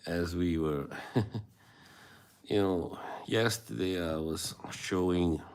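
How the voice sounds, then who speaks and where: A man's voice: low-pitched, extremely slow, a little mellow, clear, resonant tone. A man from Bosnia and Herzegovina, hosting a casual live stream and chatting with viewers in a rambling, hesitant way with frequent chuckles. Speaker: livestream host.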